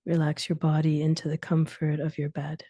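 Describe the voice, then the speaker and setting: A woman leading a guided sleep meditation, giving soothing instructions in short phrases with long pauses and soft, breathy endings. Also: deep voice, monotone, dry recording, studio quality.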